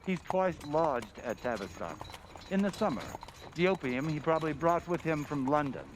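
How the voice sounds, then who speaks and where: A man playing an earnest, methodical police inspector in an old-time radio drama, giving formal, deliberate reports. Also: on the high side, animated, close to an everyday voice. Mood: assured, explaining. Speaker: community theatre actor. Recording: clear background noise.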